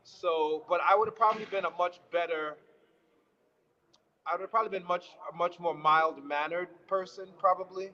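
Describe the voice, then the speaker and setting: A man's voice: high-pitched, steady tone, moderate pace, bright, forceful tone. A man from Brooklyn telling his life story as an interview guest, rambling and correcting himself as he goes, with easy laughs. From Brooklyn, New York. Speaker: guest author. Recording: dull sound with long reverb.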